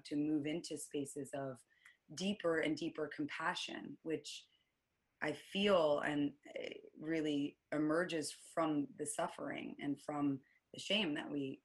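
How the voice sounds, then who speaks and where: A woman hosting a podcast, talking in a soft, searching way with many pauses and fillers. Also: deep voice, breathy edge. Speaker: podcast host. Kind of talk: reflective interview talk.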